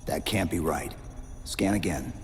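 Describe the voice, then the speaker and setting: A man's voice: very deep voice, unhurried, faint music behind. A man playing a stoic, battle-hardened supersoldier in a video game cutscene, speaking in terse, restrained lines. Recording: loud cinematic score behind.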